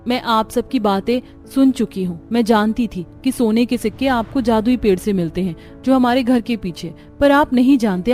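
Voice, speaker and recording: A woman's voice: fairly high pitch, a little mellow, lightly acted. A woman voicing an earnest, worried young daughter-in-law in a cartoon family story. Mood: pleading. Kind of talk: cartoon voice acting.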